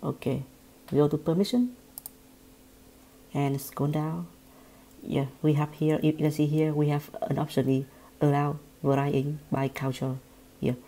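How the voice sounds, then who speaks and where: A woman speaking English with a Vietnamese accent guides a screen-recorded software lesson. Her voice sits low and sounds soft and mellow. She talks in a halting way, often repeating words and restarting her sentences. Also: little pitch movement. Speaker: software tutorial presenter.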